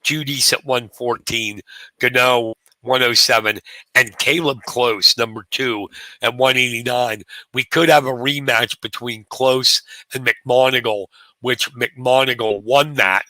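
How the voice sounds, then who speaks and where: This is a hoarse man, doing play-by-play for a live wrestling stream. His voice is very bright and has a forceful edge, and it sounds close and dry. He talks casually while reeling off rankings and weights. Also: mid-range voice, steady tone, no room sound, low-quality recording. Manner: rapid rundown of rankings, conversational. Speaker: wrestling commentator. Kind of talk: live sports commentary. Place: press box at a sports venue.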